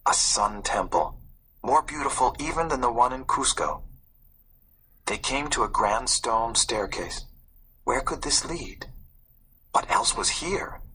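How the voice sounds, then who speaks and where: A man reading a story aloud as a narrator, in a suspenseful, expressive way. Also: mid-range voice, slow, pauses often, slightly rough, low-quality recording. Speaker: audiobook narrator. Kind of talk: dramatic scripted storybook narration.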